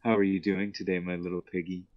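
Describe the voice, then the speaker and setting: A man's voice: deep voice, flat delivery, quick pace, very noisy. A smarmy, cooing man talking and presenting casually over a lo-fi voice call. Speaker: caller. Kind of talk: casual call talk and vlog-style presenting. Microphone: voice chat call. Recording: dull lo-fi voice call.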